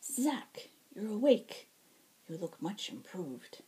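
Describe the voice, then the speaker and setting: A woman voicing a weary, long-suffering scaly male fae caretaker, soft-spoken and formal, in an audiobook story. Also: mid-range voice, very animated, slow pace, pitched above the performer's own voice. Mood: tired relief. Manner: polite, formal phrasing.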